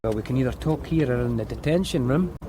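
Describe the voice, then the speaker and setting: A persistent police detective. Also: female voice, rumbling low, animated, quick pace. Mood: probing. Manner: probing, persistent. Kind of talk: radio drama acting. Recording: radio drama with street noise.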